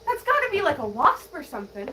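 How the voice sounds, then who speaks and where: An actress plays an indignant, distraught woman with a very high voice that swings animatedly. She is emphatic and sarcastic, like someone arguing in a naturalistic radio play. The sound sits away from the mic with a bit of noise. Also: wildly swooping pitch, fast talker, far from the mic. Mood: amazed, urgent. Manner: emphatic, exasperated, sarcastic, swearing. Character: distraught, indignant young woman fretting over extinct bees. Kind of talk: naturalistic audio drama dialogue.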